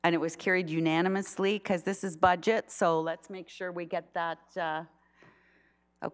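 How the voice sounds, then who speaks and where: A woman chairing a town council meeting as mayor, talking in halting bursts with frequent restarts. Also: fairly low pitch, little pitch movement. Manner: halting, many restarts and fillers.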